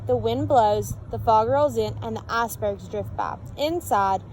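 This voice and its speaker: Woman storybook reader, high-pitched, expressive and sing-song, speaking to children, faint noise behind. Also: a little slow, low-quality recording. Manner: expressive, sing-song on repeated calls, questioning listeners. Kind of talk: read-aloud narration of a picture book with asides to children. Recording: steady background noise.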